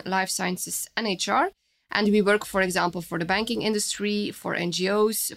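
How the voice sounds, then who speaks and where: A woman in her thirties from Belgium, a Dutch speaker, giving a formal, measured scripted introduction as head of a recruitment office. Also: mid-range voice, a bit bright.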